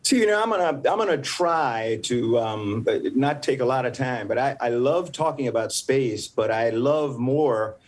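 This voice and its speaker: Measured older man from the American South. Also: mid-range voice. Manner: measured, explanatory, with frequent restarts. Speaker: former astronaut, panel guest. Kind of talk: panel interview answer.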